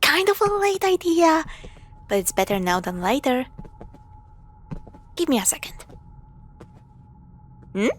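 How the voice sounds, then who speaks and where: A woman playing a caring, playful young girlfriend in a spooky audio roleplay, talking straight to her partner in halting conversational phrases with sighs. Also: fairly high pitch. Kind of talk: spoken roleplay to the listener.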